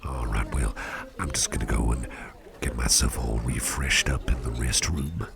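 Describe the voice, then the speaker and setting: A man playing a suave, doting devilish businessman boss in a soft-spoken ASMR roleplay, murmuring with courtly, unhurried gratitude and slipping into gravelly whispers. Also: very deep voice, over music. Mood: fond, courteous. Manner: courtly, unhurried, repeats phrases appreciatively. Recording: party crowd murmur behind voice. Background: crowd chatter.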